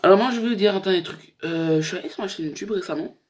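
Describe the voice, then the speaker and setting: A man's voice: high voice, quick pace, slight background noise. A young man hosting a casual YouTube countdown, talking in a slangy, joking, rambling way. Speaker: YouTube host. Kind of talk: casual chat to camera, countdown list.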